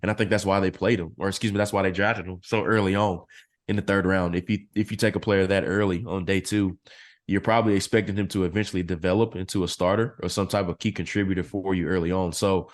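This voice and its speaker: A man analyzing football draft picks as a podcast guest, his voice a little hoarse and clearing his throat now and then. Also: low-pitched, fast talker. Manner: steady analytical explaining. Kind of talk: casual podcast interview.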